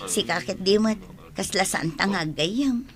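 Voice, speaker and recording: A woman's voice: mid-range voice, expressive, a little slow, crowd noise. A woman with an Ilocano accent playing a gossipy, teasing friend in a radio drama. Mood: chatty, friendly. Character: gossipy, teasing female friend of a driver, a parent.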